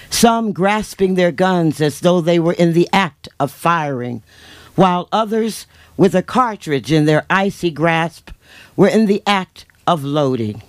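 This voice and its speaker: A man giving a solemn, measured dramatic reading of literary prose at a live bookshop event. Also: high voice, dry recording. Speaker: reader in a staged book reading. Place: bookshop event before a seated audience.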